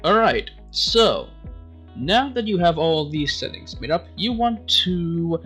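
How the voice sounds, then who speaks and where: Male tutorial narrator, highish and very lively, theatrical emphasis, music underneath. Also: slow pace. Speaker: tutorial host.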